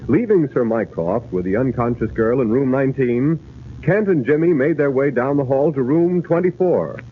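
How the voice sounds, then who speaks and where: Man, theatrical serial narrator, lively intonation, emphatic, phone-like 1940s broadcast with faint noise. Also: medium pitch, moderate pace. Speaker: announcer and narrator. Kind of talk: dramatic 1940s radio narration. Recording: tinny, noisy 1940s radio broadcast.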